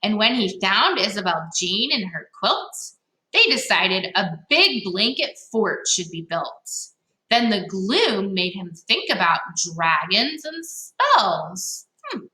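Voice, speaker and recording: A woman reads a picture book aloud for children in a bright, highly expressive voice. She takes her time, leaning into key words and adding playful asides. The room gives her voice a noticeable echo. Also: takes it slowly. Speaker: read-aloud host for children. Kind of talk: animated children's storybook read-aloud. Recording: echoey, roomy sound.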